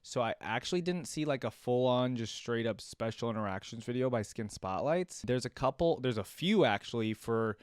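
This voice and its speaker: A man hosting a reaction video, reading aloud and commenting casually as he thinks out loud. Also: mid-range voice, animated, moderate pace, hardly any pauses, smooth, no room sound, studio quality. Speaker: reaction video host and streamer.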